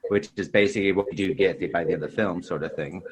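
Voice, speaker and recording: Low-voiced man chatting about film. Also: fast talker. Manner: rambling, repeats "you know" and "sort of thing". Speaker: film podcast host. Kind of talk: casual film discussion.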